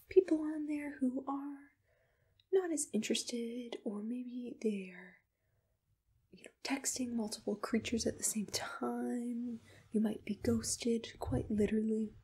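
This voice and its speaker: A woman speaks in a very breathy whisper, soft and reassuring. She sounds like an attentive ASMR roleplay host, soothing and gentle, with a slightly high voice. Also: takes it slowly.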